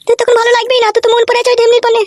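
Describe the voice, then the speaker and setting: Extremely shrill, rapid nagging cartoon wife. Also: female voice, completely flat delivery, no room sound. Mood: bitter complaint. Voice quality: shrill, squeaky. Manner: nagging, sarcastic bickering. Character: shrill, jealous, quarrelsome village wife. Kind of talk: comic cartoon voice acting.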